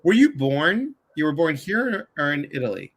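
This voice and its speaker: A man's voice: on the high side, big pitch swings, moderate pace, breathy edge. A man from the Chicago area hosting a casual livestream conversation, chatty and full of asides. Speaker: livestream host.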